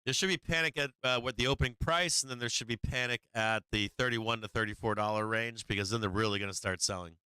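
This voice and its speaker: A man's voice: medium pitch, fast talker, hardly any pauses, bright, forceful tone, clean tone, no room sound. A man hosting a stock market livestream, talking casually and profanely through charts with many fillers while he is losing his voice.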